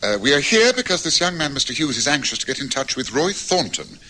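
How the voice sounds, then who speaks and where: An actor playing a shrewd, courteous gentleman detective, speaking formally and deliberately in a radio drama. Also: a little high, big pitch swings, a little hiss or hum. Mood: politely probing. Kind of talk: old-time radio drama acting.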